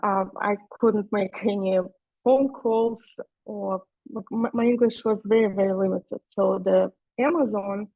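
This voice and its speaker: A woman's voice: medium pitch, dry recording. A woman from Russia with a Russian accent presents in a webinar, speaking English as a second language. She hesitates often and pads her sentences with fillers. The audio sounds dull and lo-fi, with a dry, close feel. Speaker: guest speaker.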